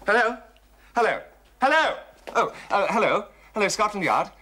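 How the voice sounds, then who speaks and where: An actor playing a suave, audacious gentleman thief with an English accent and a breezy, teasing, politely clipped manner in a period drama. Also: high voice, big pitch swings, brisk, full character voice. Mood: polite, hesitant. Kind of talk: period television drama dialogue.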